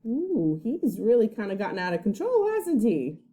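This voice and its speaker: A woman teacher reading a children's story aloud expressively, pausing to put questions to young listeners. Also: fairly high pitch, wildly swooping pitch, mellow. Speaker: first grade teacher.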